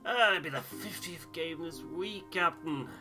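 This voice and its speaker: A man's voice: a little high, a little slow, music in the background, pitched above the performer's own voice. A man voicing a bored, familiar working-class expedition crewman making rambling, colloquial small talk in a game. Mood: chatty, bored.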